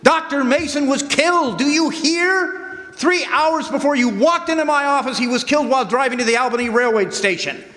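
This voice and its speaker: A nervous, urgent doctor in a radio play, performed by a man. The voice is high and sharp with insistent delivery. It comes with heavy echo from a hall. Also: lively intonation, fairly quick, exaggerated voice. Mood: urgent, alarmed. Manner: agitated, urgent. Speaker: stage radio drama actor. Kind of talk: live radio drama acting. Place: live performance in a campus hall. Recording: very echoey hall.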